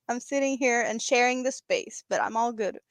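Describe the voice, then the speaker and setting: A woman from Sweden chatting in fluent English as a relaxed guest on a casual crochet live stream. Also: on the high side, moderate pace, no room sound. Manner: relaxed, laughs easily, speaks fluent English.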